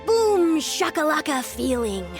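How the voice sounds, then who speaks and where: Young village girl with a passion for digging up ruins: high voice, earnest, enthusiastic, game dialogue over music. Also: big pitch swings, a little slow. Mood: excited. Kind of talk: anime video game voice acting.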